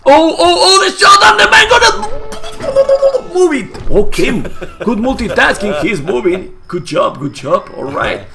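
A hoarse, Spanish-native man casting a live strategy game match, getting louder and repeating himself at big plays. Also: very high voice, sing-song, moderate pace, loud music behind the voice. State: hoarse, losing his voice. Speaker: esports caster. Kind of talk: excited live game commentary.

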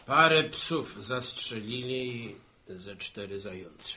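A man's voice: mid-range voice, animated, slow, sharp tone, heavily acted. A man acting a matter-of-fact, argumentative village postman in a 1960s Polish radio serial. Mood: insistent, explaining. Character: Wiktor, village postman bringing bad news to neighbours.

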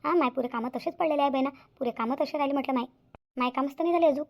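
A woman's voice: high-pitched, rapid-fire. A woman voicing a chatty, complaining middle-aged village mother in a cartoon, with a Varhadi Marathi accent. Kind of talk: cartoon voice acting.

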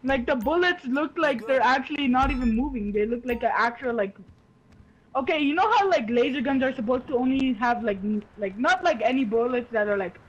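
A man's voice: extremely high pitch, brisk, a bit bright, highs cut off, slight background noise. A young man in casual gaming chat, rambling and restarting his sentences with lots of fillers. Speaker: gamer playing with a friend.

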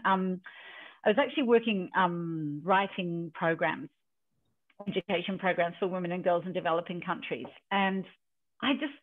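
A woman in her fifties from New Zealand, a leadership coach telling her story in a relaxed video call interview from her home, often quoting what she and others said. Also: mid-range voice. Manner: storytelling, chatty, quotes herself. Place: home office in a country house. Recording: tinny video call audio.

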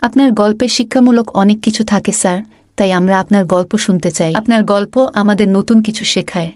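Polite young pupil for kids' animation, female actor: keen, mid-pitched, closely recorded. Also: a little fast, darkish tone, no room sound, studio quality, close to an everyday voice. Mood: eager. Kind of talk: children's cartoon voice acting.

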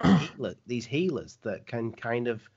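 A man's voice: medium pitch, big pitch swings, measured pace, creaky, a little mellow, slightly rough. A man in his thirties hosts a casual interview from home over a video call, asking loose, rambling questions. His voice sits in a middle range. The audio is muffled and lo-fi. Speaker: host. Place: home room indoors. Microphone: webcam on video call.

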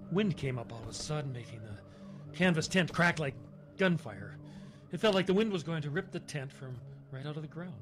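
A weathered male survivor voiced by an actor tells his grim tale in a radio horror play. His delivery is solemn and folksy, with halting, clipped sentences at a middling pitch. The room is echoey, with clear background noise. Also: close to an everyday voice. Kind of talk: radio horror drama monologue.

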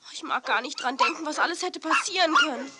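A woman playing an excitable, tender-hearted girl who is anxious about dogs in a children's audio drama. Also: high-pitched, fast talker, heavy background noise, lightly acted. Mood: frightened, indignant.

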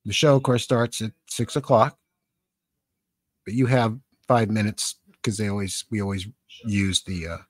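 An American man in his seventies hosting a casual live stream in a rambling, halting, conversational way, close on a studio microphone. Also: on the low side, steady tone, dry recording. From San Francisco, Northern California, USA. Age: 70s. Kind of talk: casual live-stream chat, reading and answering comments. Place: small cluttered home studio indoors. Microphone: close boom-arm studio microphone with headphones.

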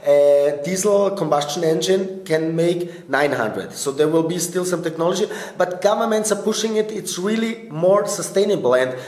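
A man's voice: sits high, expressive, a little mellow. A man in his thirties, born in Bosnia and raised in Austria, as an interview guest, giving earnest answers with a slight hesitance and frequent fillers. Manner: earnest, slightly halting non-native English with fillers. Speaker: startup business developer, interview guest. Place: event press area in front of a logo backdrop, indoors. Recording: roomy event interview recording.

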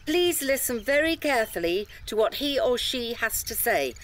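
A woman's voice: on the high side, big pitch swings, normal speed. A woman playing a polite, patient tour guide giving instructions to day trippers in an audio drama. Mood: instructive, cautioning. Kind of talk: audio drama acting, public briefing.

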